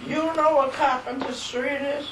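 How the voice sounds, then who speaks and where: A woman's voice: sits high, fairly even intonation, normal speed. An elderly woman, being interviewed by family at home, blunt and folksy. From Rhode Island. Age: older. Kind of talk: casual family chat. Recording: echoey home video, distant mic, hiss.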